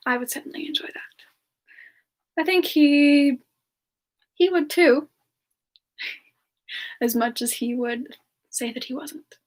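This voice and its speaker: Casting: gentle female frost demon played by a woman, high soft voice, slow and hesitant, affectionate, semi-ASMR livestream chat. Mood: fond, amused. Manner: soft-spoken, hesitant, drifting into whispers. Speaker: ASMR character performer answering viewer questions.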